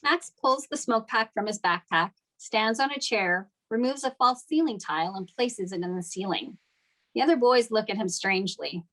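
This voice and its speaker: A woman's voice: on the high side, animated, normal speed, a bit bright. A woman narrating the stage directions of a screenplay at a table read.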